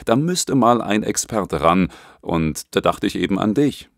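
Man reading as a breezy, low-voiced consultant buddy. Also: lively intonation, unhurried, creaky, no room sound, clean studio sound. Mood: breezy, pitching. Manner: breezy, colloquial, peppered with English. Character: casual upbeat male business consultant friend.

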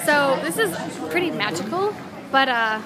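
A woman's voice: high-pitched, sing-song, moderate pace. A young woman chatting casually to her phone as she vlogs outdoors in a city. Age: young adult. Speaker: vlogger. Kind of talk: casual handheld vlog chat. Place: outdoors on busy city streets. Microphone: phone held at arm's length. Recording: noisy street with crowd chatter.

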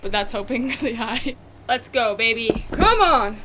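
A woman's voice: fairly high pitch, expressive, a little slow, noisy background. A teenage girl chatting to a handheld camera in a casual, bubbly vlog, often saying "oh my gosh", filmed aboard a sailboat and on a sunny beach. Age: teens. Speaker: vlogger. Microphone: handheld action camera at arm's length.

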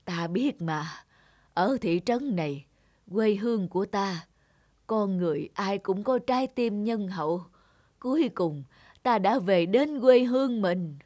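A woman's voice: mid-range voice, lively intonation, a little slow, dark tone, no room sound, studio quality. A frail blind old grandmother. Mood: tender. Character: frail blind old woman, gentle and dying.

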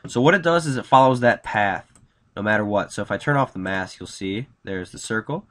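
A young man with a nasal, slightly congested voice, casually talking through a software tutorial with lots of fillers. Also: lowish voice, lively intonation, brisk. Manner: casual, upbeat, many fillers. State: congested from a cold. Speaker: tutorial host. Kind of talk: screen-recorded software tutorial.

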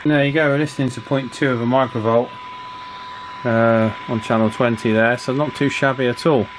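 A man's voice: medium pitch, fairly even intonation, moderate pace, noisy background. A middle-aged man giving an informal hands-on repair commentary, hesitant and thinking aloud as he goes. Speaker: radio repair technician and presenter. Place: small indoor workshop, at the bench.

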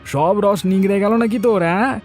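A man's voice: high-pitched, moderate pace, a little mellow, faint music behind. A man voicing a scornful young villager in a cartoon fairy tale, with a taunting, stern delivery. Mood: mocking.

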